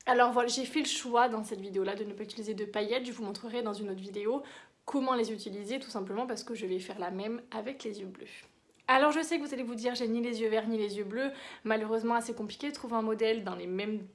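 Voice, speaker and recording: A woman's voice: on the high side, fast. A woman giving a casual makeup tutorial, chatty and explanatory, often correcting herself as she goes. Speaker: beauty vlogger.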